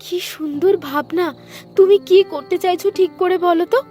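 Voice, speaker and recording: A woman's voice: very high voice, moderate pace, dark tone, soft background music. A woman voicing a curious, supportive young wife in cartoon dialogue.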